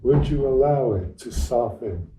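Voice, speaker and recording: A man leading a guided meditation as a teacher, speaking gently and without hurry in short phrases with long pauses. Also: mid-range voice, lively intonation, a little hiss or hum. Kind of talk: guided meditation, unscripted and instructional. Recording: roomy echo, distant microphone.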